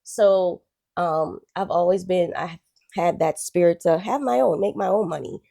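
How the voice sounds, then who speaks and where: Woman from Guyana, forties, low gravelly voice, mellow tone, relaxed chatty guest. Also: unhurried. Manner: relaxed, chatty, with frequent "um" and "you know". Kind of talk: casual interview, telling her life story.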